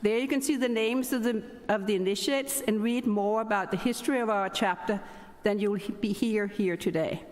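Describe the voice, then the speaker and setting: A woman's voice: mid-range voice, normal speed, a little mellow. A middle-aged woman from Denmark with a Danish accent, hosting a formal ceremony with chatty asides. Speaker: ceremony host, chapter president. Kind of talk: formal welcome speech. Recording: reverberant hall.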